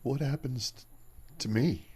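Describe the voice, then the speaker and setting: A man's voice: medium pitch, unhurried, a little mellow, husky. A man playing a guilt-ridden, fearful bereaved man in an audio mystery drama, speaking hesitantly.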